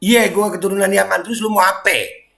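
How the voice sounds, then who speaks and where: A middle-aged man from Indonesia with a Jakarta Betawi accent, reacting to online comments in a mocking, heated, casual way, switching between low muttering and loud indignant outbursts. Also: fairly even intonation, fairly quick, vocal fry. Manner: mocking, heated, laughs and sighs often. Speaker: commentator reacting to social media posts.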